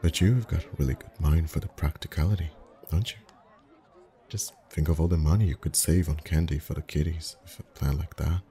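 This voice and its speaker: A man acting as a friendly festival face painter with an extremely low, mellow voice. He chats intimately in ASMR roleplay style, easygoing and gently teasing, with a flirty streak. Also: a little fast. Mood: casual, teasing. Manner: chatty, gently teasing, soft chuckles. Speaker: voice actor in an audio roleplay. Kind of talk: ASMR roleplay, one-sided intimate conversation.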